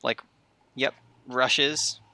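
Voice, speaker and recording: A man's voice: medium pitch, fairly even intonation, very slow, gravelly, pushed and bright, dry recording. A man chatting casually as a knowledgeable player on a game podcast, explaining things in a laid-back, informal way. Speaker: player and plant expert on an RPG podcast.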